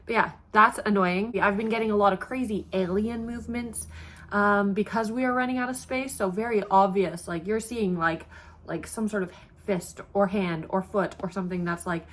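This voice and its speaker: A woman's voice: medium pitch, moderate pace. A young woman, talking casually to camera about her pregnancy, stuffy and congested, with lots of ums and likes. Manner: chatty, relaxed, many ums and likes. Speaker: pregnancy vlogger.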